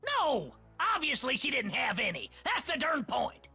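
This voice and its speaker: A man voicing a cantankerous, crude little creature warrior from a video game, loud, folksy and raspy. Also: extremely high pitch, wildly swooping pitch, measured pace, soft background music, exaggerated voice. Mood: brash, rowdy. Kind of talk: over-the-top video game character voice.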